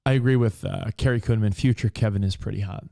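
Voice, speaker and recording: A man in his forties co-hosting a podcast in casual chat, animated and fond of acting out quoted voices. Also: fairly low pitch, fast, vocal fry. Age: 40s. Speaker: podcast co-host.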